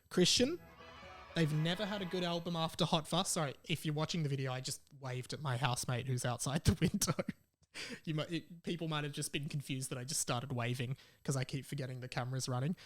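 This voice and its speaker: A young Australian man with a slightly high-pitched voice hosts a solo podcast. He talks in an informal, chatty way, as if straight to his listeners. Some music plays behind him. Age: young adult. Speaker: podcast host. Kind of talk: casual solo podcast chat.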